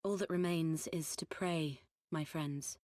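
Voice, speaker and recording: A woman's voice: low-pitched, fairly even intonation, a little slow, a little mellow, no room sound, studio quality. A woman playing a solemn, authoritative healer in a video game cutscene.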